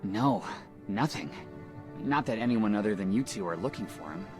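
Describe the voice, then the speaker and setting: An actor playing a concerned, reluctantly helpful Spartan comrade in a video game, with music playing behind. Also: male voice, fairly low pitch, expressive, normal speed, natural voice. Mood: somber.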